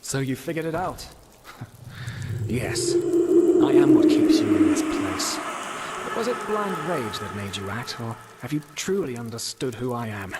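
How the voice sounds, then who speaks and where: An actor playing a tormented, bitter and fatalistic man in a fantasy game, with an anguished, halting delivery. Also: mid-range voice, big pitch swings. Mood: taunting, menacing. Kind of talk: dramatic fantasy game acting. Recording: long hall reverb with noise.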